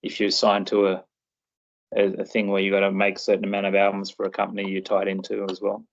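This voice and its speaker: A man's voice: sits low, monotone, quick pace, crowd noise. A man hosting a casual podcast chat, talking in a laid-back, rambling way. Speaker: podcast host. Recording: dull lo-fi recording.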